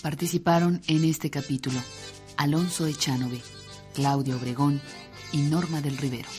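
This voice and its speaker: A man's voice: fairly high pitch, steady tone, normal speed. A man reading scripted closing credits as a radio announcer. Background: guitar music.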